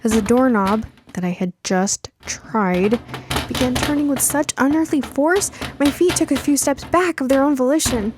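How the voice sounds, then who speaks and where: A woman's voice: high-pitched, moderate pace, noisy background. A woman reading scripted first-person fiction as its author and narrator.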